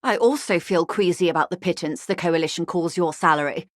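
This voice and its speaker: Woman acting a rich corporate negotiator, video game dialogue, lowish pitch, refined diction, dry irony, close dry sound. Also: no room sound, natural voice. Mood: wry, composed. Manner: urbane, measured, dryly ironic. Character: wealthy, poised corporate negotiator woman, wryly courteous. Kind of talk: scripted game dialogue, conversational.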